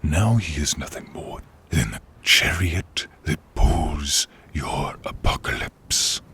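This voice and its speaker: A man playing a menacing, bitter dark sorcerer king risen from peasantry, with a gravelly half-whispered rasp and grandiose, taunting delivery in a fantasy audio drama. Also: rumbling low, exaggerated voice. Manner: slow, theatrical, taunting delivery. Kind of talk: fantasy audio roleplay acting.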